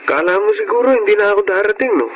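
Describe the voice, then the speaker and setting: An actor playing an eager, romantic, easily flustered young boyfriend in a radio drama, expressive and earnest. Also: male voice, sits high, quick pace, muffled recording. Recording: noisy ambience behind radio drama.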